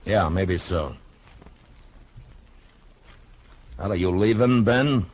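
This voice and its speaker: Low, steady American western marshal. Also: male voice, slightly rough, no room sound. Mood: firm. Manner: measured, authoritative. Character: calm, firm male frontier town marshal. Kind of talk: 1950s western radio drama acting. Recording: muffled old radio recording, background hiss.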